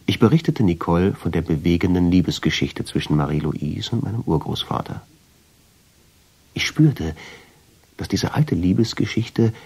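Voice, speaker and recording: An actor playing a brooding, lovestruck first-person narrator in a radio drama, with intense, deliberate storytelling and a husky voice. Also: male voice, deep voice, darkish tone, heavily acted. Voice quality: husky, gravelly, often sinking into whisper.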